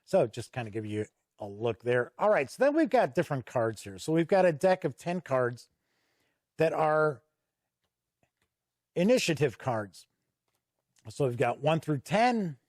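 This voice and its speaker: A man hosting an unscripted review walk-through, explaining things in a measured way with deliberate pauses. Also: a little high, very animated, darkish tone, no echo, clean studio sound. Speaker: host and reviewer.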